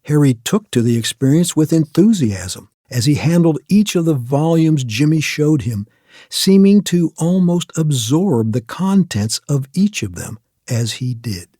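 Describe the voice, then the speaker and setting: A man narrating a scripted radio play in a measured storytelling manner. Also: a little high, very animated, dark tone, dry recording, studio quality.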